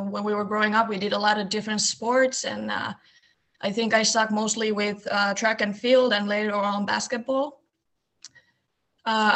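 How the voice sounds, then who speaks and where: A woman in her twenties from Eastern Finland with a Finnish accent, telling stories as a guest on a podcast, relaxed and full of fillers. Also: mid-range voice, low-quality recording. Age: 20s. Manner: relaxed storytelling with many fillers. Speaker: guest, basketball coach. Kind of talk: casual podcast interview.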